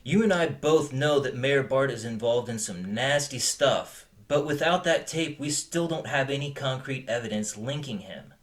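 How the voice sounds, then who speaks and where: Male investigator's partner played by a man: mid-range, measured, understated wit, radio-play delivery. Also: medium pitch, lively intonation, close to an everyday voice. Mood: weary, discouraged. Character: weary, witty man, partner in amateur investigation. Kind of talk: audio drama acting.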